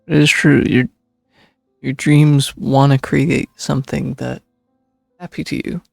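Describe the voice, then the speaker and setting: A man's voice: mid-range voice, steady tone, unhurried, gravelly, darkish tone, no room sound. A man giving casual, informal reaction commentary as a game streamer, sounding sincere. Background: faint game music.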